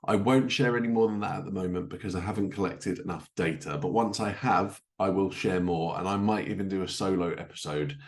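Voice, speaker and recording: A man's voice: deep voice, brisk, hardly any pauses. A man hosting a podcast in an informal, self-deprecating way. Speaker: podcast host.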